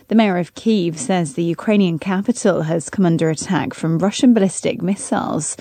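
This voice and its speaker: A woman's voice: fairly low pitch, vocal fry, mellow, no room sound. A woman reading scripted radio news and announcements as a newsreader in a studio. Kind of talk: scripted news bulletin and continuity. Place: dry broadcast studio.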